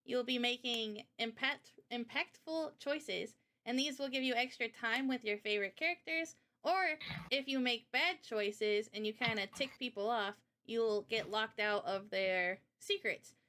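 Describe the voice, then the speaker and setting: A woman's voice: fairly high pitch, a little slow, hardly any pauses, bright, forceful tone. A woman talking casually and informally in a livestream Q&A, explaining a project as its developer in slangy everyday language. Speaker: game developer presenting a visual novel project.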